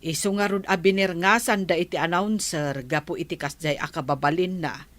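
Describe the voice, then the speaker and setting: A reproving village woman acted by a woman in a radio comedy drama. She chats and gossips in a slightly low voice. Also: steady tone, moderate pace, fairly bright tone, no echo, close to an everyday voice. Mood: gossipy.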